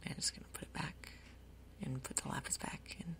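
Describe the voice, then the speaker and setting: A woman doing soft-spoken ASMR game commentary in a breathy half-whisper, with a casual, rambling, unhurried manner. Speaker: gaming video host.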